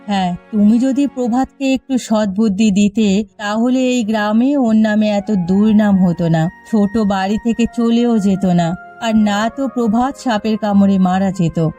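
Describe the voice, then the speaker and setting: A sensible village wife played by a woman in a cartoon moral story, reproachful and pleading. Her voice is mid-pitched and mellow, over faint music. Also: unhurried, lightly acted. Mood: scolding. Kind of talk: Bengali cartoon story voice acting.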